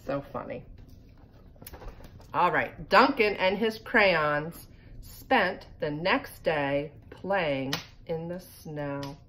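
A woman's voice: low-pitched, animated, extremely slow, pushed and bright. A middle-aged woman reading a children's picture book aloud with casual asides. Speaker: read-aloud reader for children. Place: living room by a fireplace. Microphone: phone propped in front of her. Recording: home phone recording with background noise.

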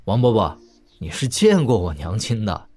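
An actor playing a young prince in an audio drama, talking in a casual, wry, teasing manner. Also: male voice, fairly low pitch, very animated, moderate pace, a little mellow. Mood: teasing, warm.

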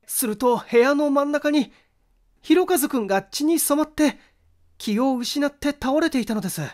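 A man's voice: very high voice, lively intonation, normal speed, darkish tone, pitched above the performer's own voice. A man voicing an earnest young male witness in a mystery audiobook, recounting events formally and in detail. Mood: earnest, urgent.

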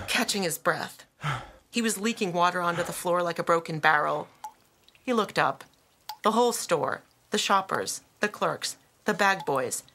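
A woman reads a story as a dramatic audiobook narrator, in a fairly low, bright voice. She is deliberate, leaning on key words and pausing for effect. Ambient sound effects run behind her. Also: slight background noise. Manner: deliberate, with dramatic pauses and emphasis.

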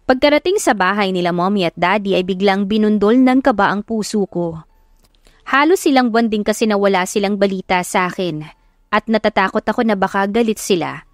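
A woman's voice: mid-range voice, no room sound, studio quality. A woman with a Filipino accent giving a dramatic first-person narration of a story. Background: background music.

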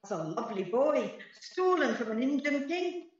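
A mischievous, boastful night sprite acted by a woman relishes verse in a high voice. It is a radio play, heard a little distant and very echoey. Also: very animated, normal speed. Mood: conspiratorial. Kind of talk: amateur Shakespeare radio play acting. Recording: echoey distant dull home recording.

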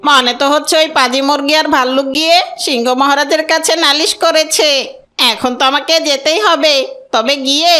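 A woman's voice: sits high, fairly even intonation, moderate pace, bright, forceful tone. A woman voicing a sly male jackal in a children's cartoon, wheedling and full of excuses. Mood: worried, scheming.

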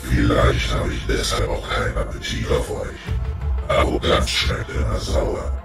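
A huge fire-breathing beast from a fantasy audio drama, irritated and menacing. The voice is gravelly and slow, with formal threats. It sounds distant and echoing. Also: male voice, extremely low pitch, completely flat delivery, full character voice. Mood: scornful threat. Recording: echoing distant voice under loud music.

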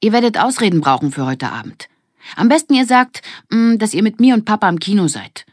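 A woman's voice: medium pitch, animated, fairly quick, no room sound, studio quality, pitched above the performer's own voice, natural voice. A woman voicing a bold, curious young girl in a children's audiobook, speaking eagerly and emphatically. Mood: urgent, scheming.

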